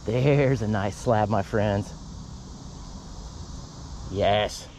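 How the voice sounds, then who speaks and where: Low-voiced hyped-up fishing vlogger man. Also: drawn-out words, a little hiss or hum. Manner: excited, hyped-up exclamations. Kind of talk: casual fishing vlog narration. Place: outdoors by a canal.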